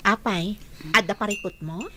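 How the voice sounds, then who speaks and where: An actress playing an attentive, inquisitive coworker in a radio drama, with a Filipino accent. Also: female voice, mid-range voice, fairly even intonation, moderate pace, crowd noise, close to an everyday voice. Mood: concerned.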